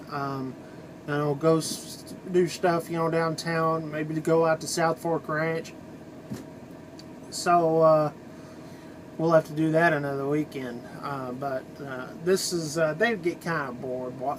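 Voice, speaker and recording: A middle-aged American man, a truck driver, rambling casually in a halting, conversational way to his vlog. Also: a little high, noisy background.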